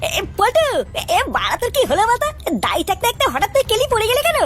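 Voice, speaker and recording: An extremely high-pitched, swooping cartoon boy, rattling along quickly. He is quarrelsome and foul-mouthed, scolding his pals in crude comic banter over faint music. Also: wildly swooping pitch, a bit bright, full character voice. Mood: irritated scolding. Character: foul-mouthed, bickering cartoon village boys, brash and quarrelsome. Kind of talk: Bengali comedy cartoon dub.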